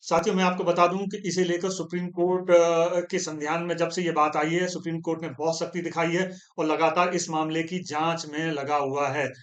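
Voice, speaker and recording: High-voiced man giving news commentary. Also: flat delivery, moderate pace, hardly any pauses, fairly bright tone. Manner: addresses viewers as "comrades", frequent hesitation fillers; reads out long formal statements.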